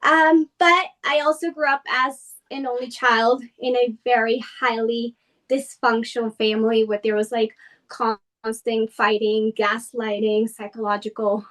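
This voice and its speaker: A woman's voice: on the high side, flat delivery, measured pace, fairly bright tone, distant mic. A woman from Colombia who was raised in Boston, guesting on a podcast and telling her personal story earnestly, with many fillers.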